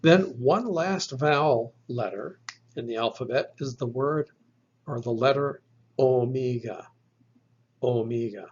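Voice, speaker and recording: A man's voice: medium pitch, takes it slowly, breathy edge, dull audio. A man giving a recorded lecture on Greek pronunciation, methodically repeating each sound for the listener. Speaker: Greek language teacher.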